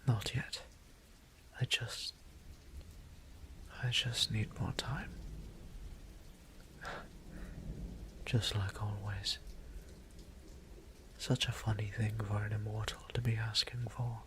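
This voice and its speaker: A man playing a weary, courteous immortal vampire priest in an audio drama, speaking formal, old-fashioned English in a breathy, gravelly near-whisper. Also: fairly low pitch, level tone, slow, pauses often, background noise. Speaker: voice actor. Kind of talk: scripted audio drama monologue.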